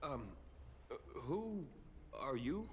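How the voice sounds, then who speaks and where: An actor playing a deferential, easily flustered city guard with formal speech in a video game. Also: male voice, mid-range voice, sing-song, slow pace, slight background noise.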